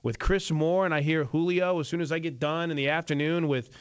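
A man's voice: fairly high pitch, fairly even intonation, fairly quick, clean tone, no room sound. A man in his thirties hosting sports talk radio, giving emphatic, opinionated takes in conversational banter. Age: 30s.

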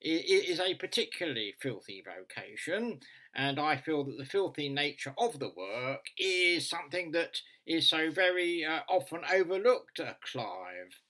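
A man performs an earnest funeral director phoning a radio show in a comic story. The voice is nasal and bright, with pitch that swings widely. He is hesitant and ponderous, repeating himself with deadpan solemnity. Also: moderate pace. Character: earnest, long-winded male funeral director, radio phone-in caller.

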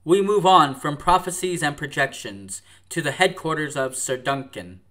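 A man's voice: fairly high pitch, normal speed, pushed and bright. A man narrating a radio drama from a script, with theatrical storytelling delivery. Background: music.

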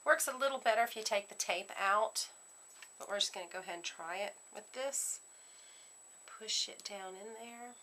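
A woman giving a casual step-by-step craft demonstration at her worktable, chatting in a relaxed way while working with her hands. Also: mid-range voice, normal speed, slight background noise. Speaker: craft tutorial host.

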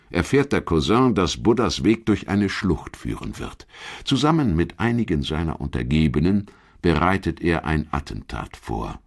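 A man narrating a scripted documentary in a measured, emphatic storytelling manner. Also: a bass voice, vocal fry.

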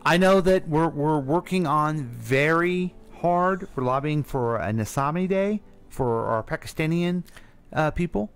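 A man's voice: fairly high pitch, measured pace, clean tone. American man in casual radio talk. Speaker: radio show co-host.

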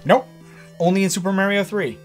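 A man in his thirties co-hosting a casual chat, excitable and jokey with quick quips. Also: sits high, loud music behind the voice. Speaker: co-host. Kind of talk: casual chat about retro games. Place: room lined with game shelves, indoors.

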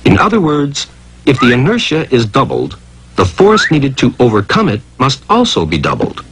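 A man's voice: medium pitch, wildly swooping pitch, normal speed, slight background noise, low-quality recording. A man narrating an educational cartoon from a script in a theatrical, emphatic way, posing rhetorical questions.

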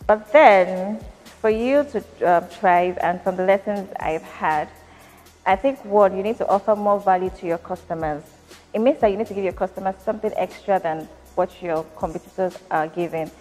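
A Nigerian woman in her thirties, a company founder, answering interview questions in a measured, thoughtful way with frequent fillers, recorded indoors in a factory. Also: mid-range voice, a little mellow, big-room sound, soft background music.

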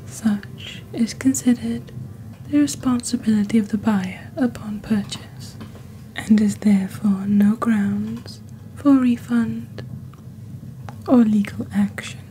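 A young woman speaking softly and breathily in a scripted ASMR roleplay, slow, deliberate and eerily formal, as the host of a shopping channel. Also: on the high side, fairly even intonation, a little hiss or hum, low-quality recording. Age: young adult. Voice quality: soft, breathy, whispery. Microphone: close studio microphone on desk.

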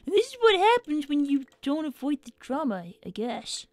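A woman's voice: high voice, sing-song, normal speed, a little mellow, dry recording. A woman voicing a young, brainy cartoon fox boy who chats in a sassy, self-assured way as a gaming livestream host. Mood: chatty, wry. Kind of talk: in-character gaming livestream chat. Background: game music.

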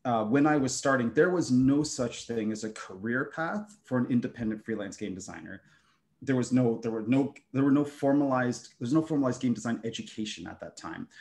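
A man's voice: mid-range voice, a little mellow. Middle-aged man, hesitant panel speaker on a video call. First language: German. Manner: hesitant, many fillers and restarts. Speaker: game designer, keynote speaker. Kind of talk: conversational panel talk. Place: home room with shelves.

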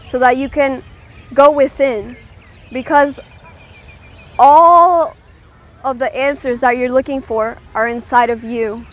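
Slow, high-voiced woman guiding meditation. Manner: slow, gentle, soothing instructions with check-in questions. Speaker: meditation guide. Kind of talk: guided meditation.

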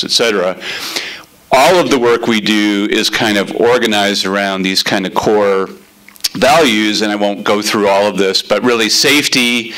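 A middle-aged man, a city transportation planner, giving a loud, chatty talk to a community meeting. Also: on the low side, rough recording. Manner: loud, chatty, relaxed explaining.

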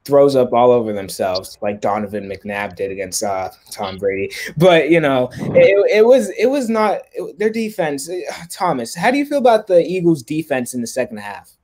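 Young man hosting a halting sports chat. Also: mid-range voice, sing-song, a little mellow. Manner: halting, restarts often. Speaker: host.

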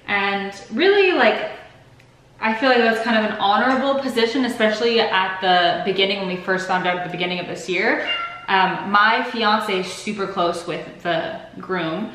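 A woman's voice: mid-range voice, a bit bright. A woman in her twenties, a bit sick and sniffly, chatting casually and rambling to the camera in her home kitchen. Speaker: vlogger. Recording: echoey kitchen.

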